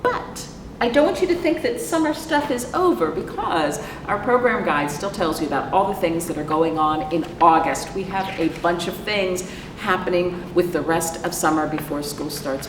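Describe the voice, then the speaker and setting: A woman hosting a children's storytime as a librarian, reading aloud and chatting in an animated way, putting questions to young listeners. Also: sits low, normal speed. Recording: echoey reverberant room with background noise.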